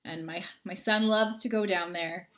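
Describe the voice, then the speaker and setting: A woman's voice: on the low side, fairly even intonation, moderate pace, a bit bright, slight background noise. A woman, chatting casually with viewers on a livestream from home and reading out their comments. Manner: chatty, friendly, rambling. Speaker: livestream host. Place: home, indoors.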